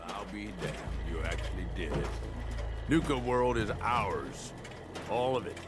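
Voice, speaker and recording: An actor playing a rough, scheming male raider lieutenant with a streetwise, persuasive way of talking. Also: on the low side, lively intonation, a little slow, heavy background noise.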